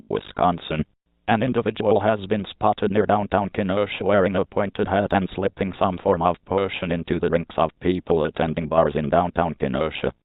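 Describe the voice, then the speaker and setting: A low male voice comes through narrow, phone-like audio, very dry with no room at all. He reads as an emergency alert announcer, formal and flat, with a synthetic delivery. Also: no echo.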